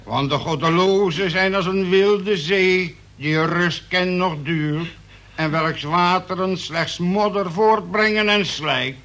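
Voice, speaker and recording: High-pitched, slow, zealous preacher. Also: male voice, a bit bright, full character voice. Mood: thundering, righteous. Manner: declamatory, solemn, drawn-out phrasing. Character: fire-and-brimstone male preacher, zealous, pompous, chummy between prayers. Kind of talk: radio play acting, chanted sermon-like prayer. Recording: very noisy old radio play recording.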